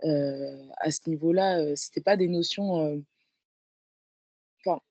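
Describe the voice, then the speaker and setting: A woman in her twenties with a fairly low voice, talking about herself in a casual, reflective way. She is a guest in a conversation, recorded dry and close to echo-free. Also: a little slow, warm tone. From Paris, France. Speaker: guest, professional handball player.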